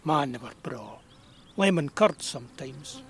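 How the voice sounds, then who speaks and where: A man's voice: mid-range voice, lively intonation, a little slow, fairly bright tone, slight background noise. An actor playing a kindly, nostalgic old man of about eighty with a broad rural Perthshire Scottish accent, reminiscing in a folksy way in an audio drama. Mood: fond, nostalgic. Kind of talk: naturalistic audio drama storytelling.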